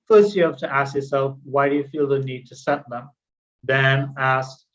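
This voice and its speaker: A man's voice: medium pitch, lo-fi sound. A man from Trinidad gives an educational talk in an explanatory, conversational way, posing questions and answering them. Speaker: guest speaker, mental health presenter. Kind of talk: educational presentation with personal anecdotes.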